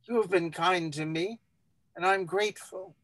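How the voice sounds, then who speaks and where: A man giving a dramatic, measured reading of a literary text. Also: sits high, a bit bright, no echo.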